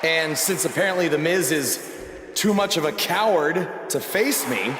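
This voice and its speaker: A man acting a cocky young underdog in a wrestling game. His fairly high voice is casual and dripping with sarcasm. It echoes through a big arena with noise around it. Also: lively intonation, moderate pace, crowd noise, close to an everyday voice. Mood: confident.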